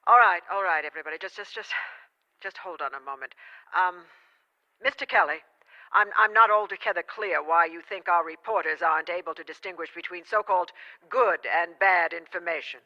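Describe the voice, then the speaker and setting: Muffled phone sound, lady publisher in audio drama, tense bright voice, hesitant, asks questions. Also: lowish voice, brisk. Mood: troubled. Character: hesitant, questioning female newspaper publisher under pressure.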